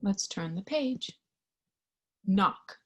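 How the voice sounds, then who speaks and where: A woman reading a picture book aloud to young children in an expressive, child-directed storytime style. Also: medium pitch, very slow. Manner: expressive, child-directed, with questions and sound effects.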